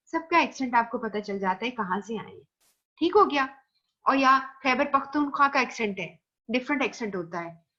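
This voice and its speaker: Female lecturer, Pakistani Urdu accent, slightly high voice, muffled lo-fi recording; patient, explanatory. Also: a bit bright. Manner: explains patiently, mixes English phrases, repeats "theek hai" checks. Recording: dull lo-fi recording.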